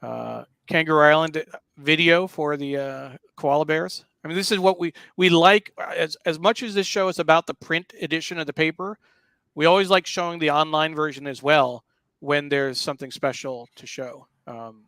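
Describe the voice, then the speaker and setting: Male host, slightly higher voice, dry sound, casual live commentary, halting explanatory delivery. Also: fairly bright tone, clear, resonant tone, no echo. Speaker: show host. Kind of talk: casual live talk walking through a newspaper.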